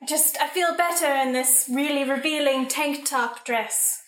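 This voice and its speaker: A woman's voice: sits high, measured pace, fairly bright tone. A woman playing a coy, melodramatic young widow in an improvised comedic radio play. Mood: coy, mournful. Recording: echoey room, distant from mic.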